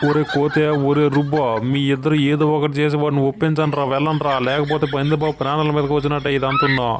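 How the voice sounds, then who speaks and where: An exasperated cartoon forest guru acted by a man admonishes his followers at a quick clip. The pitch is a little high for a male voice and barely moves, over clearly audible noise. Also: monotone, lightly acted. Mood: pleading. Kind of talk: comic cartoon dialogue. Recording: clearly noisy track with clicks.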